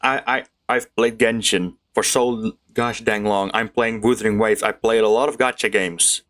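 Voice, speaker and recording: A woman's voice: a bass voice, fairly even intonation, normal speed, fairly bright tone. A woman doing casual live game commentary with slangy, informal talk, sometimes reading text off the screen. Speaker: gaming streamer playing a story game. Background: game music.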